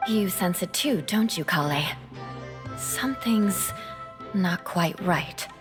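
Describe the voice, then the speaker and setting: A woman voicing a cool-headed young warrior in a video game, speaking in a measured, cautious, formal manner. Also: on the low side, expressive, over music. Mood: wary. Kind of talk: video game cutscene voice acting.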